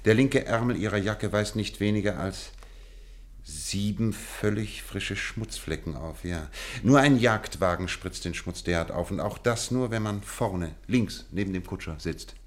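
A man's voice: on the low side, a little slow, lightly acted. A man acting a courteous, observant, self-assured detective in a German radio play, speaking formally and precisely.

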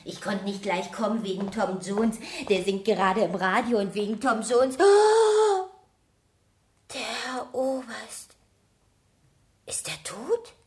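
An actress playing a cheeky, chatty, simple-hearted housemaid in a German radio play, pert and artless in manner. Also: female voice, mid-range voice, expressive, slow, a little mellow, echoey room. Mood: shocked.

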